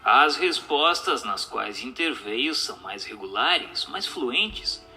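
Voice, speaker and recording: A formal, inquisitive séance investigator, acted by a man in a radio drama. His delivery is very bright and forceful, with lively swings in pitch, and music plays softly behind his measured, scripted words. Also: exaggerated voice. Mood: explanatory. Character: formal, inquisitive séance questioner and narrator, male. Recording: music under the voice.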